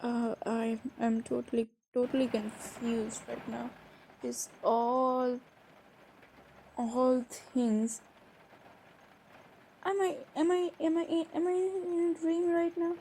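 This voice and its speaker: Woman acting out a shy flustered girl in a romance roleplay audio, really slow n stammery, kinda high voice, some bg noise. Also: steady tone, warm tone, rough recording. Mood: confused, overwhelmed. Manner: hesitant, stammering, breaking off and repeating words. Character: flustered, shy young woman confessing to her stepbrother.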